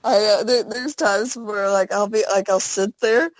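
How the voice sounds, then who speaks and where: A woman's voice: medium pitch, fairly even intonation, moderate pace, no echo. A young woman from the American South, a streamer, chatting casually in an interview and rambling with lots of fillers. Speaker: Twitch streamer, guest. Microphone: headset.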